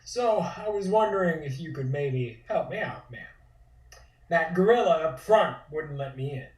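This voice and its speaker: A man's voice: fairly high pitch, animated, moderate pace, recorded from a distance, lightly acted. A man playing a polite, determined fellow talking his way into a speakeasy in a table read, courteous and coaxing, in roomy sound. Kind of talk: comic period drama table read.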